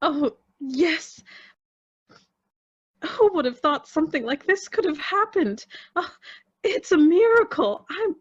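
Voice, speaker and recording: A woman's voice: sits high, lively intonation, slow, pauses often, dull audio. An actress playing a tender, emotional woman in a radio play. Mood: overjoyed, tearful.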